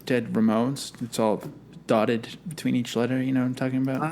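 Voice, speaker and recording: A man's voice: mid-range voice, little pitch movement, brisk, a little mellow, a little hiss or hum. A young man co-hosting a podcast in loose, casual chat, laughing often and swearing freely. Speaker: podcast co-host.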